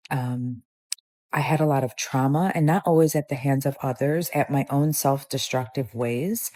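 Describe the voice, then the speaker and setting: Low, slow-spoken woman in her forties. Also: dark tone. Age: 40s. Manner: reflective and candid, with hesitations. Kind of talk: talking to camera vlog.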